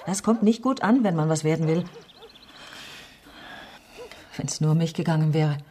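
A woman's voice: low-pitched, fast talker, dark tone, close to an everyday voice. An actress playing a bitter, betrayed middle-aged woman politician in a naturalistic radio drama, brooding with wounded irony.

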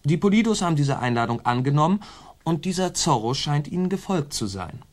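A man's voice: a little high, big pitch swings, a little fast. An actor playing a scheming officer in a German radio drama, reporting to his superior in a deferential yet sly, insinuating way. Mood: sly, gossipy.

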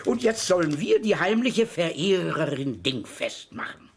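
A man's voice: on the high side, big pitch swings, unhurried, heavily acted. An actor playing a dismissive, sardonic middle-aged police commissioner and father in a vintage German radio crime drama. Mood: dry sarcasm. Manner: ironic, grumbling, authoritative. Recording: old radio recording with clear hiss and clicks.

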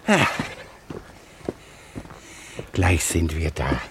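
Tired old porter, deep, deferential, halting, kind-hearted, 1950s radio drama, faint background noise. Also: male voice, big pitch swings, exaggerated voice. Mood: kindly, insistent. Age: older.

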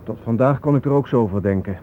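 Actor as a sceptical photographer, noisy recording. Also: male voice, mid-range voice, fast talker, lightly acted. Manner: colloquial, questioning, resistant. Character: sceptical, reluctant male press photographer. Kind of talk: radio drama acting. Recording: noisy, thin phone-like old radio recording.

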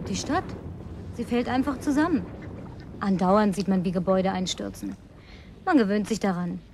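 A woman's voice: mid-range voice, normal speed, darkish tone, slight background noise, lightly acted. An actress playing a lonely, house-proud young woman in a German radio drama, coaxing and effusive with sighs. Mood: chatty, proud.

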